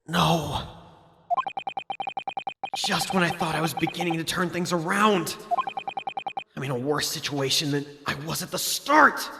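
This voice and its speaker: A woman's voice: deep voice, unhurried, creaky, pauses often, heavy background noise. A woman voicing an earnest, dramatic young male defense lawyer in a fan dub of a courtroom game. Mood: dejected.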